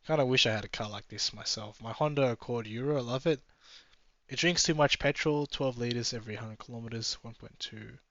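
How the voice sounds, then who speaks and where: A man's voice: medium pitch, fairly quick, a bit bright. A man giving a casual, chatty running commentary from inside a moving car, musing aloud and drifting off topic. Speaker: travel vlogger. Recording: slightly dull, dry sound.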